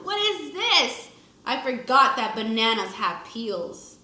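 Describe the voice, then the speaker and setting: A woman's voice: sits high, pushed and bright, reverberant, rough recording. A woman teacher reading a children's book aloud expressively to young pupils, acting out the lines and adding short explanations. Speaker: first grade teacher.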